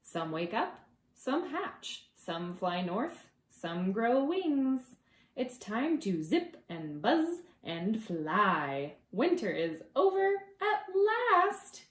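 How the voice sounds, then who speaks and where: Slow-paced woman reading a storybook, echoey room. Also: medium pitch, big pitch swings. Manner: gentle, deliberate, drawing out words for young listeners. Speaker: preschool teacher reading a picture book. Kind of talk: expressive children's storytime read-aloud with conversational asides.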